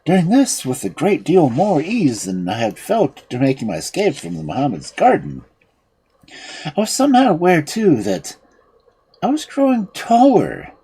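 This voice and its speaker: A storyteller voicing an earnest young man who recounts his misfortunes in formal, animated fairy tale speech. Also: mid-range voice, normal speed, breathy edge, exaggerated voice, low-quality recording. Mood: earnest recounting.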